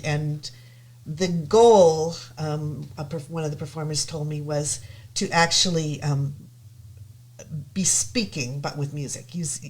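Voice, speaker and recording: A low-pitched woman talks casually as a guest. She hesitates often with ums and restarts, but her enthusiasm comes through. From United States. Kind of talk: casual chat.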